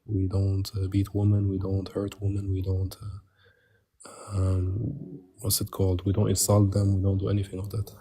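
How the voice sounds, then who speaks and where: A man's voice: deep voice, monotone, normal speed, mellow, breathy edge. A man speaking through a voice changer in a live religious debate, terse and insistent, with a muffled voice. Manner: terse, insistent, repeatedly pushing to move on. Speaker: debate participant.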